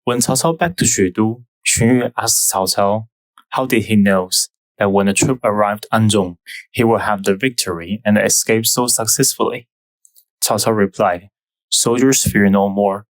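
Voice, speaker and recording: Young Taiwanese-accented male host, lowish voice, dry close mic, measured scripted history narration. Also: no echo, low-quality recording. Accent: Taiwanese Mandarin. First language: Mandarin. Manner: careful, measured explaining with non-native phrasing.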